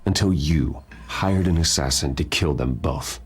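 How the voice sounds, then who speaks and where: An actor playing a grim, brooding wealthy heir in a video game, speaking in a stern, accusing, restrained way. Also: male voice, extremely low pitch, a little slow, breathy edge, exaggerated voice. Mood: angry. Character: grim, brooding wealthy heir confronting his parents' enemy. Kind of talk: dramatic video game acting.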